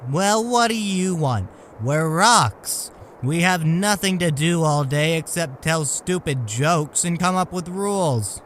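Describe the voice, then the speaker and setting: Man voicing a slow, sardonic talking boulder. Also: on the high side, expressive, fairly bright tone, a little hiss or hum. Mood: bored, testy. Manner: dry, sarcastic. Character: sardonic talking boulder, bored cave guardian. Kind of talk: fan audio drama character acting.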